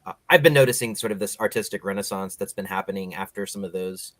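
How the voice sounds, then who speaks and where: A man with a fairly low voice hosts a podcast interview. He speaks conversationally and casually, as if chatting with his guests. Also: fast, no room sound.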